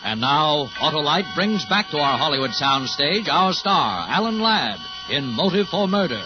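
A man's voice: a little high, sing-song, normal speed, over music. A man announcing a 1950s radio program in a grand, suspenseful, formal style. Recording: dull vintage radio broadcast.

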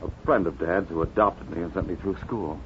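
Actor as earnest, embittered deep-voiced western doctor. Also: male voice, normal speed, close to an everyday voice. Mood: bitter, resolute. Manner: earnest, intense. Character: earnest, embittered young doctor avenging his father. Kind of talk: 1950s radio western acting. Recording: muffled, hissy 1950s radio recording.

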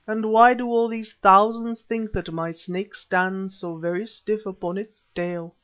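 A reader voicing a wise, solemn male warrior who speaks in measured, probing questions, on a dull lo-fi recording. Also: medium pitch, fairly even intonation, close to an everyday voice. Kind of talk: audiobook reading.

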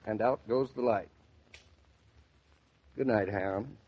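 Clowning young husband, dull old recording. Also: male voice, fairly low pitch, slow pace, slightly rough, heavily acted. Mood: mock-grand, sleepy. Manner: theatrical, singsong joking. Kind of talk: 1940s radio drama acting. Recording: dull 1940s radio transcription.

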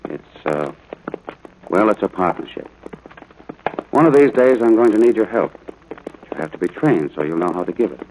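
Man acting stern ranch dad, low voice, clipped commands, gruff but fair, old radio play, slightly noisy. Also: animated, moderate pace, pauses often, a little mellow, lightly acted. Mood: stern, earnest. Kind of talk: 1940s radio drama acting. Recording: noisy vintage radio broadcast.

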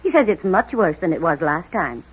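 An actress playing a kindly, earnest and hopeful doctor's wife in a small town, in an old-time radio drama. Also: female voice, lowish voice, big pitch swings, normal speed, exaggerated voice. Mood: earnest, concerned. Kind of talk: 1940s radio acting. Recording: muffled husky old-time radio recording.

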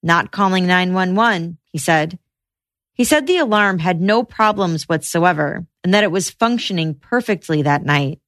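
A woman podcast host narrating trial news in a measured, reportorial way. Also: fairly low pitch, animated, fairly bright tone, dry recording.

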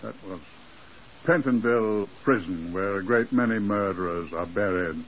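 A man playing a drily macabre crime museum custodian, hosting a 1950s radio drama in a deadpan, unhurried way. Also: fairly low pitch, a little hiss or hum, natural voice. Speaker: host. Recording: very muffled old radio broadcast.

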